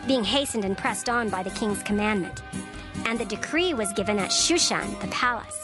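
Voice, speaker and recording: A woman narrates scripture in a measured, formal reading with a slightly high voice. Music plays clearly behind her throughout.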